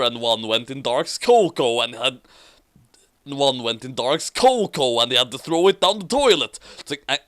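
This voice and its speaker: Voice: mid-range voice, fairly bright tone. Someone reading a story aloud with a dramatic, giggly delivery and amused commentary. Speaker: reader and commentator of fan fiction.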